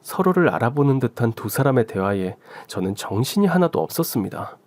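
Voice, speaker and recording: A man's voice: medium pitch, very animated, fairly quick, darkish tone, no echo. A man narrating a scripted first-person radio story.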